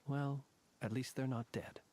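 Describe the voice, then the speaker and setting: An actor playing a grave, courtly soldier in a video game, speaking in formal, measured, deliberate phrases. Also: male voice, medium pitch, darkish tone, a little hiss or hum, lightly acted. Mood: composed, formal. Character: grave, composed, courtly male soldier, quietly vengeful. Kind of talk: scripted video game voice acting. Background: game music.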